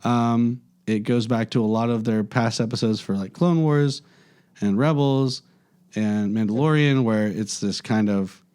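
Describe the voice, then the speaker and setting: Male co-host, mid pitch, hoarse worn-out rasp, casual. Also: a little slow, darkish tone. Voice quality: raspy. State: hoarse from losing his voice. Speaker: podcast co-host. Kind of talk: casual chat.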